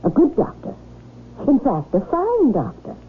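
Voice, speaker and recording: An actress playing a sharp-tongued, suspicious young English wife in a radio drama, speaking in a wry, pointed way. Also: female voice, high-pitched, huge pitch swings, measured pace, full character voice. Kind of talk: old-time radio drama acting. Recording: tinny old radio broadcast, faint noise.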